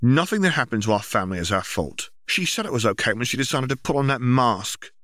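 A man's voice: medium pitch, expressive, fast talker, fairly bright tone, no room sound, voice pushed up, lightly acted. Man reading as a firm, earnest young man. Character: protective older brother, a young man defending his girlfriend.

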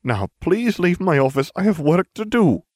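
A man's voice: high voice, sing-song, normal speed, a little mellow, no room sound, clean studio sound, voice pushed up. A man voicing a strict older female headmistress who speaks in a clipped, exasperated way. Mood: stern.

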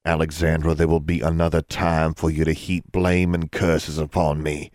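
Male reader as grave fantasy father: low-pitched, solemn, formal, stern, for a dramatic audiobook. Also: flat delivery, normal speed, darkish tone, no echo, clean studio sound. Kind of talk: dramatic audiobook character acting.

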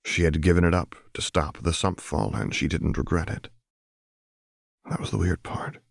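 A man's voice: sits low, animated, normal speed, gravelly, no room sound, clean studio sound. A man reading scripted fiction as a dramatic audiobook narrator.

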